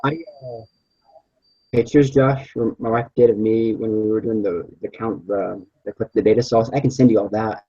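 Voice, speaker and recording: A young man in his twenties talks with classmates in an easygoing, halting way, filling gaps with uhs. His voice is mellow and a little husky. It reaches the listener through a dull, lo-fi video call. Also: on the low side, steady tone. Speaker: student in a group project. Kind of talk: casual chat on a video call.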